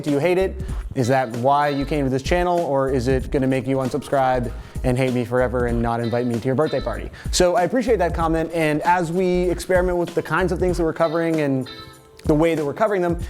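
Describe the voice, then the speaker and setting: A man's voice: a little high, a little mellow. A young Canadian man from Toronto hosting a music video series, casually answering viewer comments with jokey, sarcastic asides.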